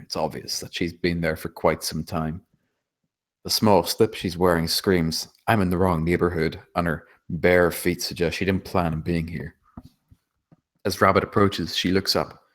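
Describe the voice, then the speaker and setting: A man's voice: low-pitched, fairly even intonation, moderate pace, fairly bright tone. A man reading scripted stage directions as the narrator of a screenplay table read.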